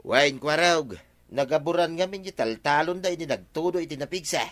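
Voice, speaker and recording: An actor with an Ilocano accent playing a plaintive, hardworking village husband in a radio comedy drama. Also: male voice, fairly high pitch, normal speed, a bit bright, natural voice. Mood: matter-of-fact.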